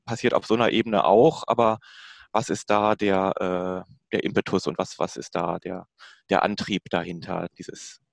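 A man's voice: low-pitched, level tone, normal speed, creaky, no echo. A man moderating a panel discussion over a video call, asking long questions with many fillers and restarts.

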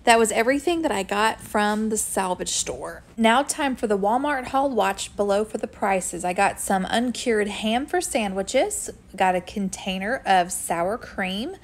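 A woman's voice: mid-range voice, lively intonation. A woman, casually chatting through her grocery haul and weekly meal plan at home. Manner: chatty, friendly, quick asides. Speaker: homestead vlogger. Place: home kitchen. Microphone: camera a few feet away.

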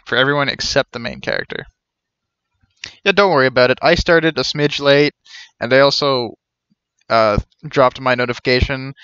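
A man's voice: medium pitch, fairly bright tone, clear, resonant tone, dry recording, dull audio. A young man giving casual, rambling live commentary as he streams a game.

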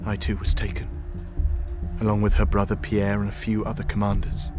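An actor playing a loyal, grieving medieval soldier, recalling events in a sombre, measured way for an audio drama. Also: male voice, fairly low pitch, monotone, over music, lightly acted. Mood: sombre grief.

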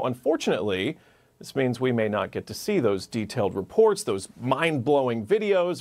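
A man in his thirties delivering a scripted news report from a studio, with wry chatty asides. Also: medium pitch. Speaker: news correspondent.

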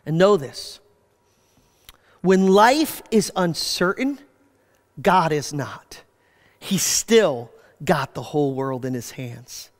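A man preaching from a church stage, unhurried and deliberate, with long reverb trailing his words through the hall. He talks with animation, and his voice sinks low at the close of each phrase. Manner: animated, gesturing, voice drops low at phrase ends. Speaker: pastor. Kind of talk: sermon. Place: church stage with screens, indoors.

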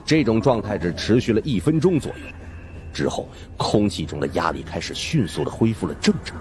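Very deep, animated male audiobook narrator. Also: fairly quick, noisy background. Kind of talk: dramatic scripted first-person storytelling. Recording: faint music behind the voice.

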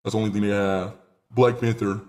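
A nineteen-year-old man streaming live from a small room on a headset mic, excitable and rambling, swearing freely. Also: on the low side, fairly quick. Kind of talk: casual live stream chat.

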